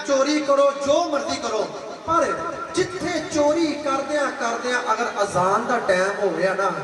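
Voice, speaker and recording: A man preaching to a gathering, telling a religious story dramatically, acting out dialogue and repeating phrases for emphasis. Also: very high voice. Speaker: Islamic preacher. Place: large gathering with audience. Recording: echoey reverberant hall, distant mic, crowd.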